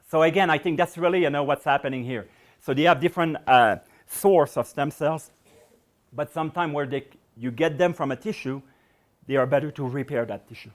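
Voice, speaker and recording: A middle-aged man giving a public science lecture and taking questions, talking in a loose, rambling way with many fillers, his voice going hoarse. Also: fairly high pitch, normal speed. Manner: rambling, many "you know" fillers and restarts.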